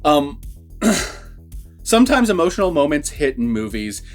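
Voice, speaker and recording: Higher-voiced man in casual review chat. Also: sing-song, a little slow, soft background music. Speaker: movie reviewer.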